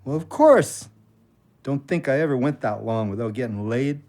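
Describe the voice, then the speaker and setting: A man playing a cocky, loving ex-convict in an audio drama, with an expressive, drawling delivery. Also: mid-range voice, moderate pace, no room sound, lightly acted. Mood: cocky, flirtatious. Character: cocky, bawdy, loving man home from jail.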